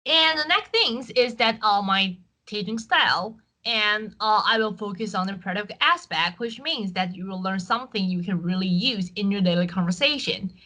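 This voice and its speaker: A woman from Taiwan, a native Chinese speaker with a Taiwanese accent, teaching an online Chinese lesson in clear, patient English with frequent fillers. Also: mid-range voice, expressive, moderate pace, hardly any pauses, bright, forceful tone. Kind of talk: online language lesson with screen share.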